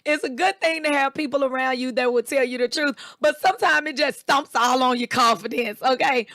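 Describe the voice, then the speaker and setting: A woman with a raspy, slightly hoarse voice, chatting casually as co-host and acting out the people in her stories. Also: high voice, a little fast, no room sound, clean studio sound. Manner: animated storyteller, quotes people in raised voices. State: recovering voice, a bit hoarse. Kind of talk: casual chat.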